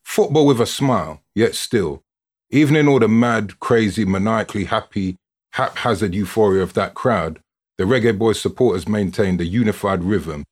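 A man with a husky voice giving an expressive, dramatic scripted reading, like a storyteller narrating a written account. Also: on the low side, moderate pace. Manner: expressive, dramatic delivery with built-up lists.

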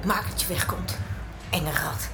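An actress playing a hostile, stubborn, foul-mouthed tunnel dweller in an audio drama. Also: female voice, fairly low pitch, unhurried, lightly acted. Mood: defiant, scornful. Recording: loud music behind the voice.

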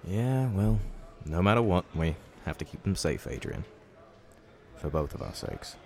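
An actor playing a stern, dutiful soldier in an audio drama, gruff and measured. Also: male voice, deep voice, expressive, heavily acted. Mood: resigned, steady. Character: stern, dutiful male military comrade. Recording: faint music under the voice.

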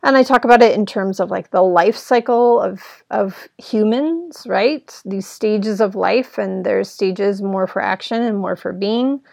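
Woman podcaster at home, rough gravelly throat from smoke, dry close sound. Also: mid-range voice, measured pace, few pauses, darkish tone, no room sound. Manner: earnest, rambling, with frequent "right?". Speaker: podcast host. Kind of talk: reflective solo podcast talk. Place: at home indoors.

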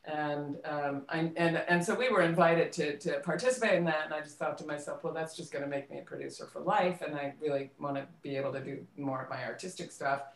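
A middle-aged woman in a conversational interview, recalling things haltingly and repeating words as she thinks. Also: low voice. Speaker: guest, theater director and actress. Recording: roomy, echoing voice pickup.

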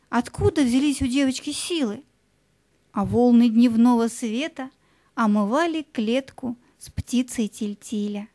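A woman narrating a scripted fairy tale as an expressive storyteller. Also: sits high, no echo.

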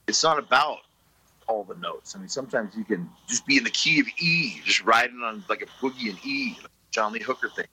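A middle-aged man, a blues musician guest, talking in a relaxed, story-telling way full of fillers. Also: mid-range voice, brisk, slight background noise, poor audio. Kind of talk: casual interview chat.

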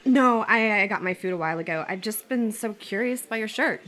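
A woman's voice: medium pitch, brisk, fairly bright tone, close to an everyday voice. A woman acting a sugary but prickly young restaurant customer in a scripted read. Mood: sweet, curious. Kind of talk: acted script table read.

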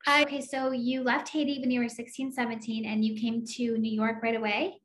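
A woman's voice: fairly high pitch, level tone, brisk. A woman interviewer asking brief, encouraging follow-up questions. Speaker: interviewer, host.

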